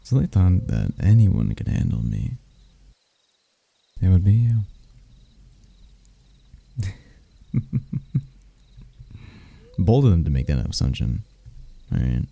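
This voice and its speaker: A man playing a suave, arrogant demon prince of lust in an intimate ASMR roleplay, with a gravelly, breathy voice and a smooth, taunting manner. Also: deep voice, sing-song, mellow, dry recording, exaggerated voice. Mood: mocking, menacing. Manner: smooth-talking, taunting, unhurried, dropping into whispers. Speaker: voice actor.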